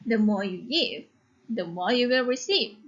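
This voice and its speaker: Female teacher-storyteller: high-pitched, animated, deliberate pace, instructive phrasing, slightly muffled audio. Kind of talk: expressive story reading and lesson instruction.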